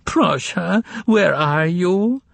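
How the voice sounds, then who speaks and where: A man voicing a distraught young woman secretary in an audiobook, speaking in a soft, slightly nasal tone and breathlessly through tears. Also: a little high, lively intonation, normal speed, breathy edge, no echo, pitched above the performer's own voice. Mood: sobbing. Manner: breathless, tearful storytelling. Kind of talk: audiobook character voice.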